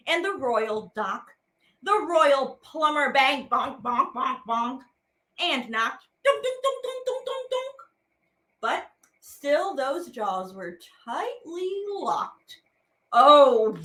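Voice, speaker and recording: A woman teaching artist hosting a program, reading children's poems aloud in a playful, theatrical way with drawn-out words. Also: high voice, big pitch swings, bright, forceful tone.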